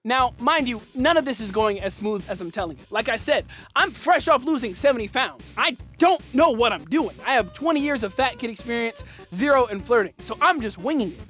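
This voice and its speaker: A man's voice: very high-pitched, expressive, a little fast, sharp tone, faint music behind. A young man telling a comedic personal story in a playful, slangy, punchy way, as host of his channel.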